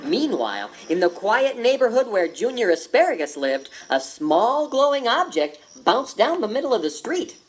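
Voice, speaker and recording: Expressive woman reading a storybook. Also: a little high, moderate pace, hardly any pauses. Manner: expressive storytelling, voicing every character. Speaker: storybook narrator. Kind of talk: scripted read-along narration. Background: background music.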